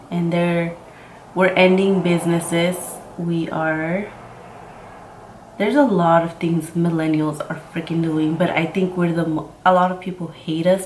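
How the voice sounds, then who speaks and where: A woman's voice: fairly low pitch, darkish tone. A woman of twenty-five, a native Mixtec speaker and court interpreter, chatting casually and rambling through a makeup tutorial with lots of "like.". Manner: chatty and rambling, full of "like" and "freaking". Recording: roomy with faint ambient noise.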